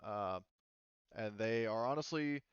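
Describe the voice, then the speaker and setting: A man's voice: fairly low pitch, a little slow, smooth, no room sound, muffled recording. A man casually narrating a tabletop roleplaying game as game master, informal and prone to swearing.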